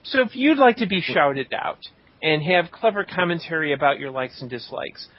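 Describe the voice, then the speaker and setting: A man with a slightly high voice hosts a podcast, reading shout-outs in a casual, joking style. The recording is a little dull and lo-fi. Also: animated, moderate pace. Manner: joking, tongue-in-cheek. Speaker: podcast host.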